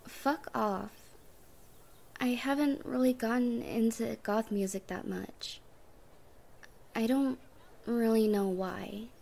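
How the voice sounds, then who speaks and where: A woman's voice: a little high, takes it slowly, vocal fry, long pauses, a little mellow, exaggerated voice. A woman playing a timid but defensive young goth newcomer in an ASMR roleplay.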